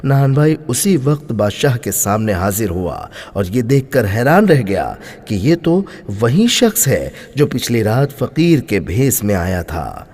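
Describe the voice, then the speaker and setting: A man narrating a scripted moral story in a dramatic, expressive way. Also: medium pitch, measured pace, few pauses. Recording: long hall-like reverb.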